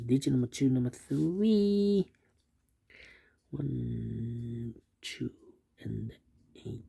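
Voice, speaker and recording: A man's voice: mid-range voice, vocal fry, mellow, breathy edge. A man explaining a sudoku step by step, thinking aloud and repeating the numbers to himself. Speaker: sudoku instructor. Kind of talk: thinking aloud while solving a puzzle.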